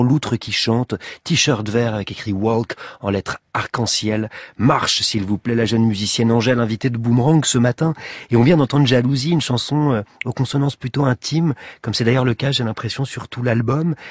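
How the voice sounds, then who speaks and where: A man's voice: mid-range voice, normal speed, breathy edge, dry recording, clean studio sound. A man hosting a radio interview, teasing and reading scripted introductions. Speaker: radio host. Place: radio studio.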